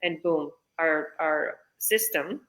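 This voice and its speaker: A woman's voice: low-pitched, slow, a bit bright, low-quality recording. A woman business coach from British Columbia answering interview questions in a casual, chatty way, explaining eagerly. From British Columbia, Canada. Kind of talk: live online interview answers.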